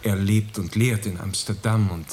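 An actor playing an earnest, courteous, solicitous doctor in a German radio play, formal and measured. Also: male voice, fairly low pitch, fairly even intonation, darkish tone, a little hiss or hum, lightly acted. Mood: gently persuasive.

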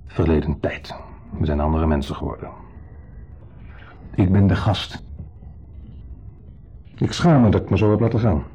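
A man's voice: low voice, animated, moderate pace, long pauses, dark tone, husky, faint music behind. An actor playing a lonely, needy man in a radio drama, insistently friendly and confessional, swinging between eager and downcast. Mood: contrite. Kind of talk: 1970s radio drama acting. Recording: dull 1970s radio recording.

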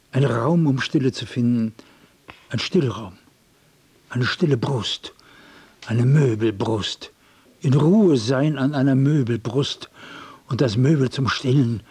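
An actor playing a distraught, irritable loner in a radio drama, musing in broken repetitions and turning cranky. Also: male voice, mid-range voice, mellow, slightly rough. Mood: brooding, agitated. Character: distraught, irritable, restless loner adrift in the world. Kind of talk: literary radio drama monologue and dialogue.

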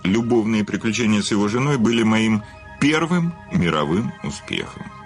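An actor in a radio play playing a vain, cynical famous writer and confessed killer, with a theatrical, sardonic manner. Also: male voice, lowish voice, animated, moderate pace, faint music behind. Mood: sardonic, boastful.

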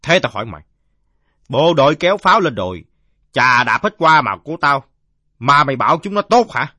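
A man's voice: medium pitch, very animated, brisk, pushed and bright, dry recording, pitched above the performer's own voice, heavily acted. A man voicing a belligerent, drunken village father in a story, blustering and coarse-mouthed. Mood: indignant.

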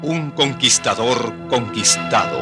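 Male announcer over loud music. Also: medium pitch, animated, slow pace. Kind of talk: radio programme title announcement.

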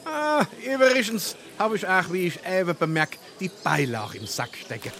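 Pompous Darmstadt family man, highish animated voice, lecturing comedy delivery. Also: normal speed, background noise. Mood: grumbling, self-satisfied. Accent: Hessian, Darmstadt dialect. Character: self-important middle-aged family man, newspaper-mad armchair politician. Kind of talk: dialect stage comedy acting.

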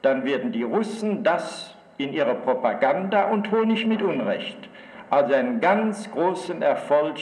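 A grave male delegate warning an assembly in a radio play. The delivery is animated yet deliberate and formal. Heavy echo from a large hall surrounds the voice. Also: on the high side. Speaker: actor in a documentary radio play. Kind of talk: dramatised parliamentary speech. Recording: echoing, reverberant large hall.

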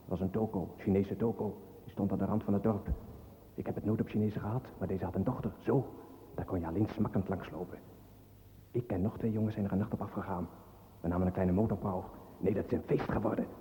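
An actor playing a callous young ex-soldier on the run in a radio play, speaking coarsely and matter-of-factly. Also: male voice, lowish voice, steady tone, fast talker, mellow, slight background noise, close to an everyday voice. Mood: callous boasting. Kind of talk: radio drama acting. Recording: long echoing reverb.

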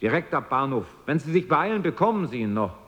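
A matter-of-fact ticket clerk in a radio play. His fairly high male voice rings in a long reverb, slightly muffled, as he gives information. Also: very animated, fast. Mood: helpful. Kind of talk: radio drama acting. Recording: dull, very reverberant hall.

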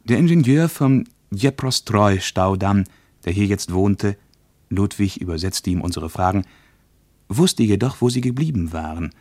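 Casting: a reflective travelling diarist in a radio play, male, very low register, measured literary reading, dry studio sound. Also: animated, a little mellow, no echo. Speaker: narrator reading a travel diary. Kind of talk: scripted radio narration.

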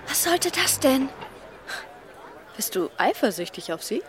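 A woman's voice: sits high, very animated, unhurried, a crowd in the background, noisy background. An actress playing a sensible teenage girl, a reproving but friendly companion, in audio drama dialogue. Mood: exasperated.

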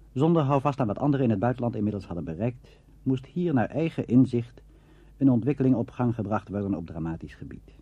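Dark-voiced man narrating, muffled recording. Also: medium pitch, husky, no room sound. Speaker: documentary narrator. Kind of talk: scripted narration. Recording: dull, dry, muffled archive recording.

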